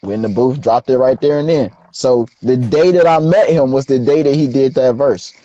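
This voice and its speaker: A young man, a rapper being interviewed, telling stories in a relaxed, slangy way. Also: mid-range voice, normal speed, no room sound, lo-fi sound. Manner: laid-back, slangy storytelling. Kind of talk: casual interview chat.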